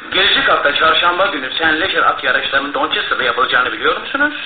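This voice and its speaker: A sardonic, impatient man in a radio play. He talks fast and pointedly in a slightly high voice. The recording is thin and phone-like. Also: big pitch swings. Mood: impatient, sceptical. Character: impatient, sceptical male challenger of the police. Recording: roomy, noisy, phone-like radio broadcast.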